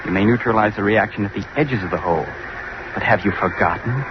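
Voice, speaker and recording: A man's voice: on the low side, fast, lightly acted. A man acting a grim, insistent scientist in an old-time radio drama, lecturing with ominous emphasis. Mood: urgent warning. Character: grim, insistent male scientist warning of doom. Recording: muffled, noisy old radio recording.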